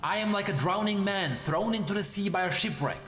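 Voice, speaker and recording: A man's voice: high-pitched, over music, voice pushed up, lightly acted. Man voicing an anguished warrior spirit trapped in a blade, echoing. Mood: predatory, urgent. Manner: haunted, halting, short dramatic phrases.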